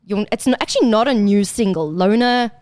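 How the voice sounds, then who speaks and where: A woman's voice: mid-range voice, a little fast, a little mellow, dry recording. A woman hosting a radio interview, gushing and effusive in her praise. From South Africa. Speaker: radio host. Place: radio studio.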